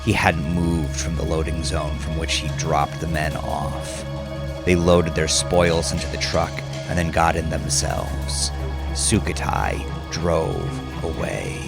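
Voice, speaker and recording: A man narrating a dramatic scripted audiobook, with deliberate, measured phrasing. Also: low voice, vocal fry. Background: background music.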